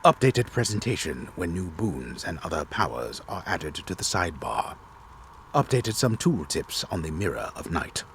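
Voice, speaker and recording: A man voicing a grave, disembodied game storyteller in a solemn, deliberate, theatrical reading. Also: low-pitched, sing-song, fairly bright tone, a little hiss or hum, exaggerated voice. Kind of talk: dramatic reading of game patch notes.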